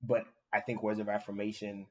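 A man's voice: lowish voice, little pitch movement, a little fast. A man co-hosting a casual podcast chat, earnest and thoughtful, with many fillers.

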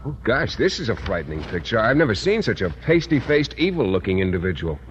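A man's voice: fairly low pitch, sing-song, a little fast. An actor playing a mild-mannered, easily alarmed man with a hesitant, earnest, polite delivery in an old radio comedy. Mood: nervous, earnest. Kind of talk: 1940s-50s radio comedy acting. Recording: muffled old radio recording, clear noise.

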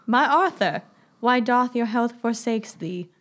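A woman's voice: fairly high pitch, animated, unhurried, fairly bright tone. A woman playing a devoted, melodramatic noble lady with mock-archaic wording in an amateur comic period play. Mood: anguished.

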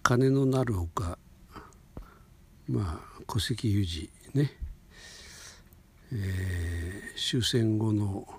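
An old man in his eighties tells his recollections at a very slow, halting pace. His voice is extremely husky and rough, with a gravelly creak. He wanders gently from one thought to the next, unscripted. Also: fairly low pitch. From Hokuriku, Japan. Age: 80s. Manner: halting, meandering, with sentence-final softeners. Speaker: storyteller recounting memories. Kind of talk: unscripted personal reminiscence.

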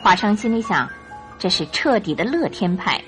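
A woman's voice: medium pitch, very animated, moderate pace, fairly bright tone. A woman reading scripted narration for a radio drama. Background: music.

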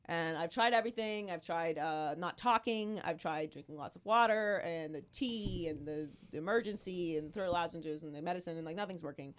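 A woman in her thirties hosting a casual sports podcast, her voice hoarse from illness, talking in an animated, emphatic way. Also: on the low side, moderate pace, hardly any pauses, slight background noise. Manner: animated, emphatic, riffs with rising exclamations. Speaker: podcast host.

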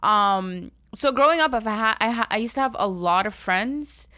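A woman's voice: on the high side, animated, moderate pace, bright, forceful tone, dry recording, studio quality. A young woman co-hosting a podcast in casual chat, talkative and teasing, laughing often. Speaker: podcast co-host.

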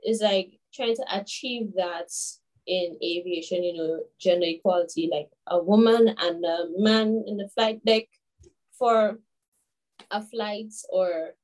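A woman in her twenties from Grenada, a guest telling stories in a casual interview, chatty and animated with many fillers. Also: lowish voice, unhurried, poor audio. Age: 20s. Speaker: guest, children's author.

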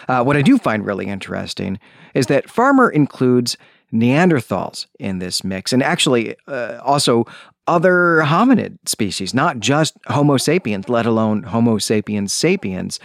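Animated male book podcast host, very dry sound. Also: mid-range voice, no echo. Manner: enthusiastic, chatty, informal asides. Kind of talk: conversational book discussion podcast.

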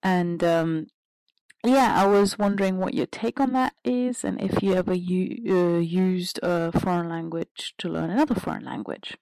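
A listener whose first language is not English asks a question hesitantly and politely. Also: female voice, fairly low pitch, fairly even intonation, normal speed, gravelly, darkish tone, dry recording.

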